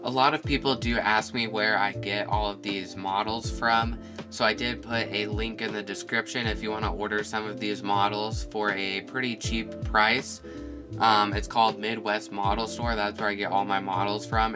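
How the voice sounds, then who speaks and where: A man's voice: fairly low pitch, flat delivery, moderate pace. A man who is feeling a bit sick, enthusiastically narrating a walkthrough of his model airport and listing each aircraft. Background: guitar music.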